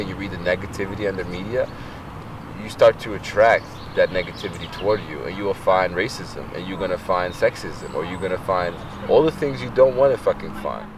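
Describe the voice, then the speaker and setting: A very low-voiced man chats informally and reflectively, as if in an interview. He is picked up from a distance, with clear street and traffic noise behind him. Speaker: interviewee in a documentary. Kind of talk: casual, reflective interview talk. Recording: distant mic, noisy urban outdoor background.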